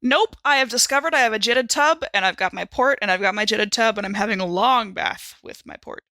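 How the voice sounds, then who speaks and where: A woman playing a tabletop roleplaying game in casual chat, playfully thinking aloud with halting phrases. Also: a little high, pushed and bright, dry recording. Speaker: player in an actual-play game show.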